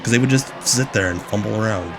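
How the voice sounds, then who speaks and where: A man's voice: lowish voice, fast, noisy background. A man hosting a stream, chatting casually and rambling through asides as he comments on an old broadcast. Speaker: stream host. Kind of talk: casual watch-along commentary. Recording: music playing under the voice. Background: music from the played broadcast.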